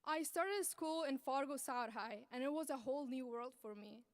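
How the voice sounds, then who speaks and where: A young woman from Afghanistan giving an earnest, measured graduation speech as the student speaker at a podium microphone. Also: sits high, dry recording. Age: young adult. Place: large ceremony venue.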